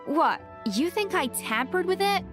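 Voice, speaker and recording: A woman's voice: very high voice, expressive, a little slow, over music. A woman playing a haughty, dry-witted female chef in an anime-style video game, speaking with sarcasm. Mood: dry, sardonic.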